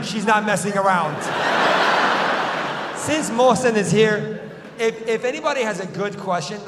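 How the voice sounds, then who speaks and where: A man doing stand-up comedy in a theater, teasing audience members and bursting into shouts. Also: high voice, very noisy. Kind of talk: stand-up crowd work. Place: large theater with live audience. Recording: echoey theater hall, loud crowd.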